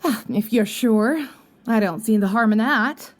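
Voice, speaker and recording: A woman's voice: fairly high pitch, measured pace, lightly acted. A woman playing a fussy, motherly older landlady in an audio drama, exclaiming and fondly scolding.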